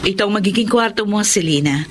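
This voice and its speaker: Female, woman acting caring aunt, radio drama, middle pitch, slight background noise. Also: fast, natural voice. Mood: reassuring. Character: compassionate, protective aunt, adult woman.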